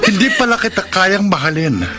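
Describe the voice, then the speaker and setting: Cocky callous young pinoy boyfriend in a radio drama, kinda high voice, music behind. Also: male voice, sing-song, a little fast. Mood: apologetic, firm. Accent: Filipino. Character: vain, callous young Filipino heartbreaker boyfriend. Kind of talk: Tagalog radio romance drama acting. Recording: music bed under the voice.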